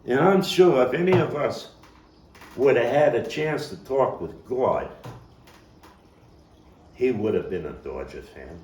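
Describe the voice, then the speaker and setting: A man performing a theatrical stage monologue as a streetwise, nostalgic Brooklyn baseball fan, telling it with deliberate pauses and big swings in volume. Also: mid-range voice, lively intonation, darkish tone, lightly acted. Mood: fond, earnest. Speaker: actor and playwright performing his own monologue. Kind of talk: dramatic stage monologue at a table read. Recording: roomy, distant mic with faint noise.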